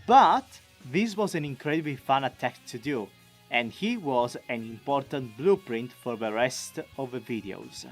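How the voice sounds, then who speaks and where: A man giving an enthusiastic, expressive, chatty scripted recap as a video creator. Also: medium pitch, unhurried, soft background music. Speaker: video creator and amateur voice actor.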